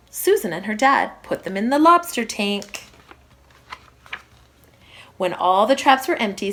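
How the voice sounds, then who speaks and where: A woman reads a storybook to young children in the manner of a primary school teacher. Her pitch moves in a lively way, and she leans on key words with expressive emphasis. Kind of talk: children's storybook read-aloud.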